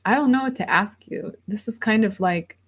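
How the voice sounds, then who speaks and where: A woman's voice: medium pitch, normal speed, slightly rough. A woman in her thirties, rambling casually on a livestream and reading viewer comments aloud before answering them. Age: 30s. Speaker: livestream host.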